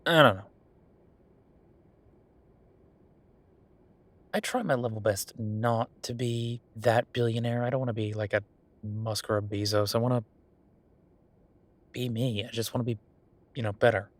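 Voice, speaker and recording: A man's voice: medium pitch, fairly even intonation, moderate pace, natural voice. A man playing a friendly, self-deprecating young billionaire in a casual, intimate audio roleplay, rambling informally and playfully defensive as he talks to the listener. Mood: sheepish, musing.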